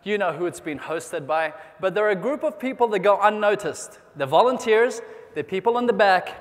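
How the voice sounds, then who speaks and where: High-pitched guy from new zealand pumping up a convention crowd, big echoey hall. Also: moderate pace. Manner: energetic, rallies the crowd, asks questions and calls for applause. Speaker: motivational speaker. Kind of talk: keynote speech to a convention audience. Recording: long hall reverb.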